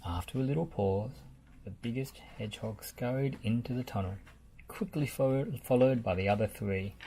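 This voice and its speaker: A storyteller reading a children's picture book aloud in a measured, deliberate way, with background noise. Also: mid-range voice, dark tone, low-quality recording.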